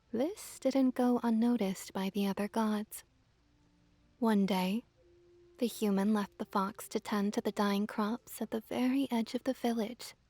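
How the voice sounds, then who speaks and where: Female ASMR storyteller, breathy and whispered, mellow tone, very unhurried, dry close sound. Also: medium pitch, no echo. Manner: soft, gentle, measured delivery.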